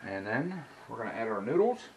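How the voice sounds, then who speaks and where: A man's voice: medium pitch, very animated, brisk, background noise. A middle-aged man, rambling in a folksy way as he cooks and talks in his home kitchen. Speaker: cooking vlogger. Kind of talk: casual cooking vlog.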